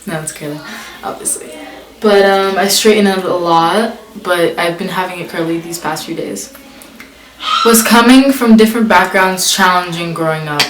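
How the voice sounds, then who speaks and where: A woman's voice: fairly low pitch, normal speed. A young woman vlogger casually answering tag questions, reading each question aloud and filling her talk with "like". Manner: chatty, many "like" fillers, reads questions aloud. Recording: echoey room.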